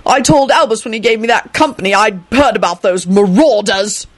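A woman's voice: medium pitch, moderate pace, no echo, natural voice. Woman doing a blustering old army man. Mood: furious, boastful. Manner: loud, spluttering and indignant.

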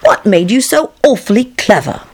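A woman's voice: mid-range voice, very animated, normal speed, breathy edge, no echo, pitched above the performer's own voice. A woman playing an inquisitive, cheeky young man, declaiming questions in storybook verse.

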